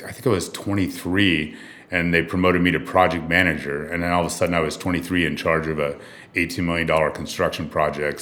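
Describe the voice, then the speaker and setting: A man from Southern California, a filmmaker guest in an interview, telling his life story in a relaxed way with many ums and uhs. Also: low-pitched. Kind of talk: conversational interview answers.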